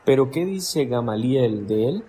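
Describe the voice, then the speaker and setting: A skeptical older townsman, acted by a man who fires off probing questions. His voice rises and falls with lively pitch, and he speaks slowly, with a faint noisy bed behind him. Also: close to an everyday voice. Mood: questioning.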